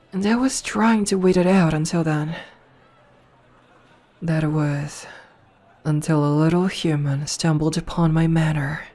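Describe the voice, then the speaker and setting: A voice actress playing a dominant, flirtatious noble vampire princess in a soft, slow ASMR roleplay, recorded close to the mic. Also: female voice, low voice. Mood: darkly confiding. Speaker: voice actor. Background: faint music.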